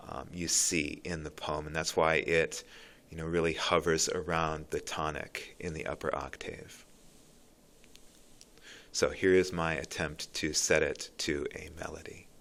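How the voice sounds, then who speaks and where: A man with a low voice giving earnest, reflective commentary on a poem, pausing and restarting as he thinks. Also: fairly even intonation, creaky, bright, forceful tone. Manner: thoughtful, earnest, with pauses and restarts. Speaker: literature commentator.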